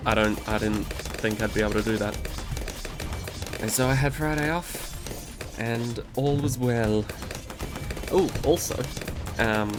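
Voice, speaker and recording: A man hosting a gaming video, talking in a rambling, laid-back way with a nasal, congested voice from a cold he is getting over. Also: lowish voice. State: recovering from a cold, stuffy nose. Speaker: gaming channel host. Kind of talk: casual commentary over gameplay.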